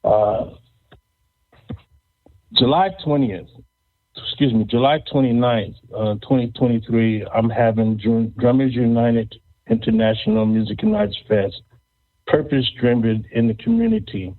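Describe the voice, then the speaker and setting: A man hosting a casual interview show, speaking haltingly and often repeating words. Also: medium pitch. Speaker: podcast host. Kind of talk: casual interview and event announcements. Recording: thin phone-like, band-limited audio.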